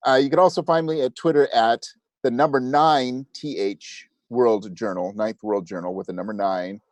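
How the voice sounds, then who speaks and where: A man talks as a guest in a casual interview. He is agreeable and a little self-deprecating, with a mid-pitched voice and very little room sound. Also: fairly even intonation, moderate pace, dry recording. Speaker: podcast creator and audiobook narrator, interview guest.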